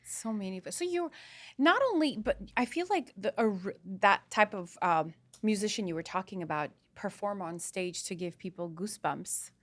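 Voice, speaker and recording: Woman podcast host, bright tense tone, mid pitch, chatty, encouraging. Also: animated, normal speed. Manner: chatty, encouraging, restarts sentences. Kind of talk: casual interview chat.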